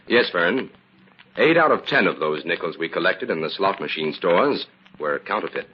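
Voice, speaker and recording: An actor playing a calm, shrewd crime investigator in an old-time radio drama, explaining things crisply and confidently. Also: male voice, low voice, moderate pace. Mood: explaining, serious. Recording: muffled, hissy old radio recording.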